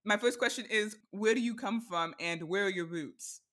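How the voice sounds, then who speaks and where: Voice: mid-range voice, normal speed, a bit bright. A podcast host who reads a guest's intro and then chats in an upbeat, giggly way. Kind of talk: casual interview hosting.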